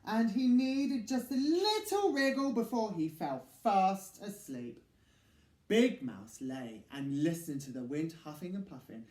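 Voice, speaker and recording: Guy reading a kids picture book, super animated up and down, takes it real slow, dramatic pauses. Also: on the high side. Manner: theatrical, dramatic pauses and emphasis. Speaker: children's story reader. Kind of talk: expressive picture-book narration. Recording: echoey room.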